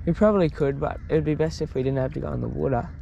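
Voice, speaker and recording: A young man with an Australian accent giving casual, excitable fishing commentary in colloquial language. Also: on the high side, racing, gravelly, dull audio, noisy background. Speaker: fishing vlogger. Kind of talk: casual live vlog commentary. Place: outdoors on paddle board on river. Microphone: body-mounted action camera.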